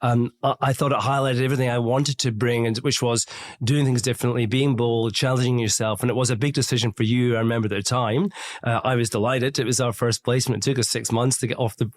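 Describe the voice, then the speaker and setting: A man with a middle-pitched voice hosts an interview podcast. He talks in a chatty, easygoing way, with many ums and restarts as he asks his questions. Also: steady tone, fast talker. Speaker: podcast host, recruiter. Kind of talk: conversational interview.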